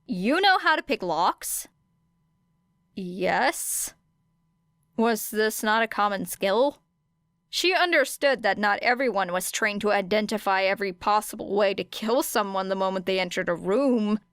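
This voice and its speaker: A woman's voice: medium pitch, animated, normal speed, a bit bright, smooth, dry recording, studio quality. A woman reading scripted fiction as an audiobook narrator.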